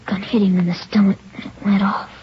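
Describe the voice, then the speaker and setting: A performer playing a guilt-stricken nine-year-old boy in an old radio drama, halting, breathy and pleading. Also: mid-range voice, flat delivery, natural voice. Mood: tearful, pleading. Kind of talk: 1950s radio drama acting. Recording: dull, noisy old radio recording.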